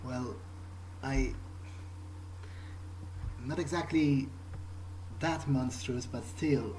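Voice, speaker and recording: A man improvising in-character narration for a game playthrough, speaking in a halting, understated, thoughtful way. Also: medium pitch, darkish tone, slight background noise, low-quality recording. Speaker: gaming video creator roleplaying a character. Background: game music.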